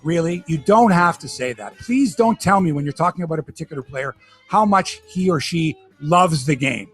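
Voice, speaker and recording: Animated Canadian man, high lively voice. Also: moderate pace, breathy edge, faint music behind. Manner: opinionated, sarcastic, animated. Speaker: podcast host. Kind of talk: casual sports talk.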